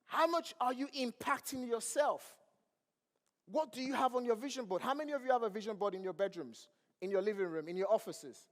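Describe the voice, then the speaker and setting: Nigerian-accented male motivational speaker, high voice, crowd questions, ringing hall reverb. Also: brisk. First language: not English. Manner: preachy, asks the crowd questions. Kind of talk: motivational stage speech. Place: large event hall.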